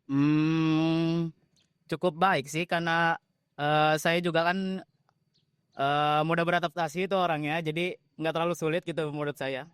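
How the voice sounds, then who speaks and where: A young man, a student answering interview questions in a relaxed, easygoing way. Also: fairly high pitch, a little slow, pauses often, clear, resonant tone, dry recording. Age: young adult. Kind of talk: casual group interview.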